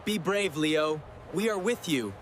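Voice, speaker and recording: A woman doing a gentle cartoon father, his voice pushed far down and soothing, speaking in short, simple sentences. His pitch swings freely, and a long reverb hangs over the voice while soft music plays underneath. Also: animated, unhurried, slight background noise.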